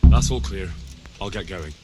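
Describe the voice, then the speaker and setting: A man's voice: deep voice, unhurried. An actor playing a dutiful, inquisitive young medieval man-at-arms in a video game, plain-spoken and deferential. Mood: earnest, dutiful. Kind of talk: scripted video game dialogue. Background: music.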